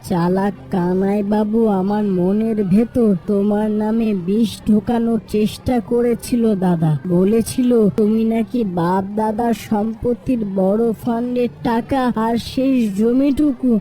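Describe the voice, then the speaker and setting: Cartoon casting: kindhearted village younger brother played by a woman, highish, very soft-toned, sincere and deferential. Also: steady tone, a little slow, hardly any pauses, lightly acted, poor audio. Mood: guilty, confessing. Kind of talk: dramatic Bengali cartoon story dialogue. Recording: music under the voice.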